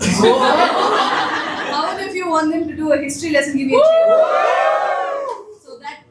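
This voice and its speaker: A high-voiced woman emcees an improv night, chatting, joking and calling on the crowd to cheer. She sounds far from the microphone in an echoey room, with loud noise underneath. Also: sing-song, a little slow. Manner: chatty, joking, rallying the audience. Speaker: show host. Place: theatre stage. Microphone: distant room mic. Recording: echoey stage, distant mic, loud noise.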